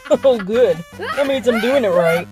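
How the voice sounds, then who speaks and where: A woman's voice: a little high, expressive, unhurried, loud music behind the voice. A woman playing a laid-back, teasing elder skeleton brother in an amateur audio drama, with a casual, playful manner. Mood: dryly teasing.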